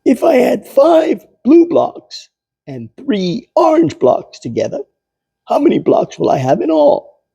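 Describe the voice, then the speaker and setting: A man voicing an upbeat, encouraging cartoon cat schoolboy for a storybook, in a cheerful, coaxing high voice. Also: huge pitch swings, a little slow, vocal fry, warm tone, slightly rough, exaggerated voice.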